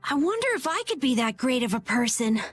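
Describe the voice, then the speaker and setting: A high-pitched, very lively young boy acted by a woman from a video game. He is earnest and eager to explain, with a bashful streak of self-doubt, over faint music. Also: fairly quick. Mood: unsure, bashful. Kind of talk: animated RPG video game dub. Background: faint background music.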